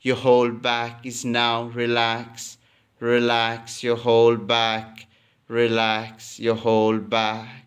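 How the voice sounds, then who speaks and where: A man leading a guided relaxation as a yoga teacher, calmly giving instructions and repeating phrases. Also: mid-range voice, slow, smooth.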